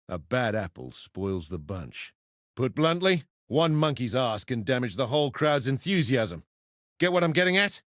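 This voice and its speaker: A man's voice: mid-range voice, no room sound, studio quality, lightly acted. A man voicing a roguish arena fighter and pitmaster in a video game, with cheeky, slangy, theatrical tough-guy talk. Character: roguish adult male arena fighter and pitmaster turned syndicate member. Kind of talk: video game character voice lines.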